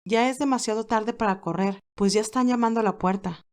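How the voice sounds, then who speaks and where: A woman's voice: a little high, a little fast. A woman narrating her own fan fiction in a scripted storytelling style.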